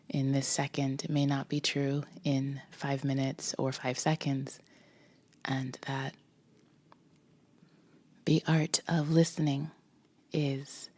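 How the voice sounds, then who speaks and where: Slow, low-voiced woman guiding meditation. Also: no echo. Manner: slow pauses between short phrases, gentle instruction. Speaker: meditation guide. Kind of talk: guided meditation. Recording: music behind the voice. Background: music.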